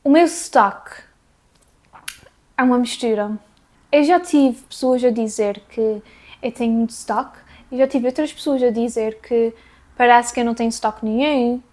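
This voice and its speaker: A woman's voice: fairly high pitch, moderate pace, darkish tone. A woman from the Azores with a mixed Azorean and North American accent, chatting casually to camera and often correcting herself. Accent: Azorean Portuguese mixed with North American English. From Azores, São Miguel. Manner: rambling, self-correcting, with bursts of laughter. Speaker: vlogger.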